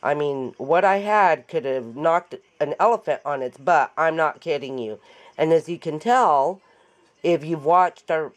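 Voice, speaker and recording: A woman with a raspy voice after an illness, chatting and joking as she demonstrates a paper craft in her home craft room. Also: low voice, measured pace. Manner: chatty, joking, laughs often. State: recovering from illness. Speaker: craft tutorial host. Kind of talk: casual chat while demonstrating a craft.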